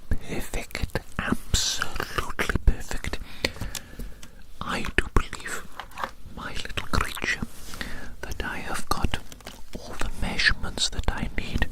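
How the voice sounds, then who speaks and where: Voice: slow pace, rough recording. A person whispering breathily in an ASMR roleplay as a fussy, fascinated doctor, soothingly repeating short phrases. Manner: soothing, repetitive, murmuring phrases over and over. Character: fussy, fascinated doctor studying a little creature. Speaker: ASMR performer playing a doctor measuring a specimen.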